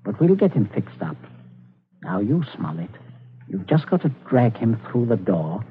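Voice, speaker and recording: A man's voice: lowish voice, sing-song, measured pace, narrow, tinny audio. An actor playing a middle-aged British patriarch in an old-time radio drama, urbane and politely commanding, with cold menace beneath his courtesy. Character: menacing genteel English patriarch, coolly murderous, falsely hospitable. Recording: thin, band-limited old radio, light noise.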